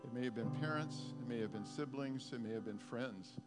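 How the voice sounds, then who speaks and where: A pastor leading a prophetic prayer time, speaking softly and haltingly, repeating his words. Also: mid-range voice. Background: guitar music.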